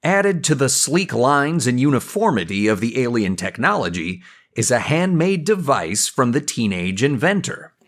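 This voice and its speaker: A man's voice: medium pitch, very animated, moderate pace. A man delivering scripted narration for an audio drama.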